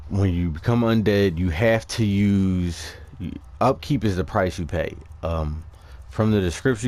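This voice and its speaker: A man giving casual, unscripted gameplay commentary, haltingly thinking aloud as he explains things. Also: deep voice, darkish tone. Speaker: gamer presenting a character build.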